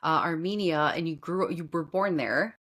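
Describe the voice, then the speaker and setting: A woman with a notably low voice hosting a podcast conversation. She chats casually and gushes, piling on enthusiastic praise for her guest. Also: moderate pace, a bit bright. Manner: effusive and gushing. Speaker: podcast host. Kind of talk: casual interview chat.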